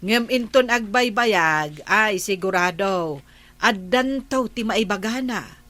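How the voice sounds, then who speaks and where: A woman's voice: mid-range voice, lively intonation, takes it slowly, bright, forceful tone. A woman acting a patient, tactful village wife in a comedy radio drama, with an Ilocano accent. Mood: patient, placating.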